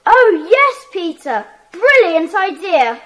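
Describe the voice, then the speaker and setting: A woman's voice: extremely high pitch, sing-song, slow, a bit bright, exaggerated voice. A woman playing a keen young child in a secret club, in a children's audiobook drama. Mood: keen, uneasy.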